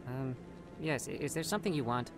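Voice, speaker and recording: An actor voicing a timid, evasive researcher in a video game, hesitant, flustered and secretive. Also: male voice, medium pitch, animated, a little fast, close to an everyday voice. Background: background music.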